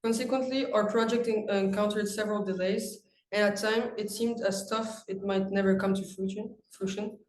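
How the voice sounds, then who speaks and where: A teenage boy from France reading a prepared student presentation, halting and restarting on longer words. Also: high voice, steady tone. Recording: echoey room with faint noise.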